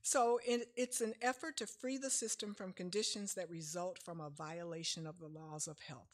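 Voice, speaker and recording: A woman's voice: fairly low pitch, fairly even intonation, moderate pace. A middle-aged woman giving a casual health seminar at a church lectern, explaining in a chatty way with frequent fillers, picked up from a distance. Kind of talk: casual lecture with slides. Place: church sanctuary, speaking at a lectern.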